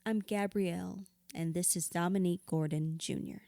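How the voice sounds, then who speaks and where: A woman's voice: lowish voice, fairly even intonation, moderate pace, gravelly, warm tone, no echo. An actress playing a hurt, bitter widow confiding in her therapist in an audio drama, raw, blunt and sweary. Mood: guarded, bitter. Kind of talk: audio drama acting, confessional dialogue.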